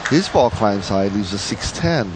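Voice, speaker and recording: A man's voice: on the low side, lively intonation, unhurried. A man commentating on a bowling match in a jovial, bantering manner. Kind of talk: live sports commentary with some sponsor reads. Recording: noisy bowling alley broadcast.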